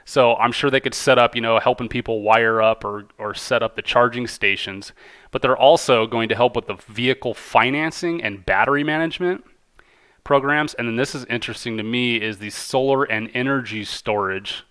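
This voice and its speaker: Male host, informal and enthusiastic, forward bright voice, mid pitch, everyday commentary style. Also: normal speed, clean tone. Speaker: video host, amateur stock commentator. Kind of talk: casual commentary, reading out quotes and reacting.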